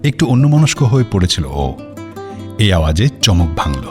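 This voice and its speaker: A man narrates a radio drama from a literary script. His voice is deep and moves expressively, with clear guitar music behind it. He tells the story in a descriptive, dramatic way. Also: big pitch swings, moderate pace.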